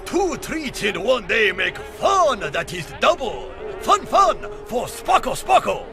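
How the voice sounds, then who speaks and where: An excitable little game mascot, very high-pitched and swooping wildly. He proclaims everything pompously in slow, stilted English, with a creaky edge. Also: over music, exaggerated voice. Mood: gleeful. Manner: bombastic, over-enthusiastic, stilted phrasing. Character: excitable Japanese mascot creature guide, pompously dramatic, broken English. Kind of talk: video game cartoon voice acting.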